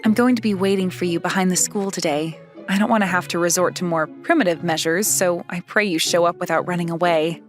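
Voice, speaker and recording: A woman's voice: fairly low pitch, fairly quick, hardly any pauses, faint music behind, natural voice. A woman playing a haughty, blunt teenage schoolgirl in a manga dub, speaking in a demanding, self-assured way. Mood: cool, formal. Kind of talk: manga dub character dialogue.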